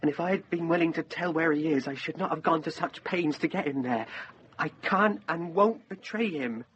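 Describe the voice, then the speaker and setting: A young actor playing a loyal, plucky youth held prisoner in a radio drama. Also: male voice, on the high side, normal speed, a bit bright, highs cut off, slight background noise, lightly acted. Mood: respectful, resolute. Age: young adult.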